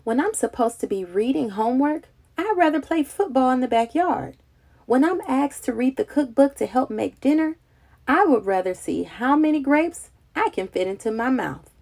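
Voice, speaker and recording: Woman, high voice, slight creak, expressive children's read-aloud, dramatic storyteller. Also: normal speed.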